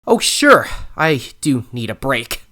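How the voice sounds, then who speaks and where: An actor voicing a plucky young male raccoon adventurer in an audio drama, with an animated, dramatic delivery. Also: medium pitch, takes it slowly, full character voice. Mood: thoughtful. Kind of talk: audio drama narration and acting.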